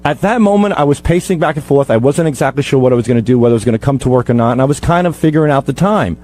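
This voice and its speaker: Man, quick pace, soft dark tone, casual, explaining himself. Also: medium pitch, lively intonation, faint music behind. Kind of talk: casual chat.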